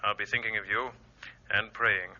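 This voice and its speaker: A man's voice: lowish voice, fairly even intonation, normal speed, pushed and bright, muffled recording. A man playing an authoritative, fatherly colonel giving orders in an old-time radio drama. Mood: commanding, solemn. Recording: band-limited old radio recording.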